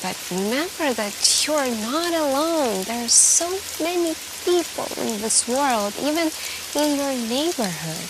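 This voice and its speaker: A woman acting as an anxious, secretive hacker friend in a sci-fi ASMR roleplay. She speaks softly and very slowly, halting as she confides, with a protective edge. A clear hiss like rain runs behind her voice. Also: sits high, big pitch swings. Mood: reassuring, earnest. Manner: hesitant, halting, hushed and confiding. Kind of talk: soft-spoken ASMR roleplay.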